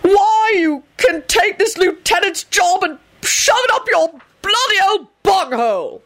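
A woman's voice: very high voice, animated, measured pace, no room sound, voice pushed up, heavily acted. A woman voicing a hot-tempered, exasperated man, aggrieved and howling, in a story reading. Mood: furious, aggrieved.

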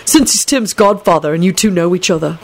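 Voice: mid-range voice, normal speed, slight background noise, voice pushed up, lightly acted. A storyteller voicing an exasperated, sulky man in a melodramatic, indignant, whiny manner for an audiobook story. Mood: glum, sulky. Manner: whiny, dramatic, loudly indignant.

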